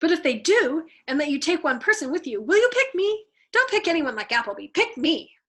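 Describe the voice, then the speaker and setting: Female narrator as nervous male airman, high pitch, eager, pleading, rambling, audiobook character. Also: very animated, brisk, sharp tone, heavily acted. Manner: eager, chatty, needy, rambling. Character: anxious, pleading airman, a reluctant family man.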